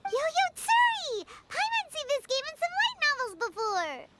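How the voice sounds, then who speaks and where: A woman's voice: extremely high pitch, huge pitch swings, measured pace, heavy background noise, exaggerated voice. A woman voicing a small, bubbly, food-loving childlike fairy companion in an anime game, exclaiming as she talks. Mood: excited. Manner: speaks of self in third person, exclaiming.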